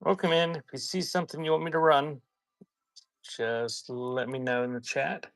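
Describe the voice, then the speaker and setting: A man's voice: on the high side, fairly even intonation, moderate pace, a bit bright, no room sound. A man hosting a live auction as the seller, talking in casual, rambling sales patter mixed with chatty stories. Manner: informal, rambling, conversational.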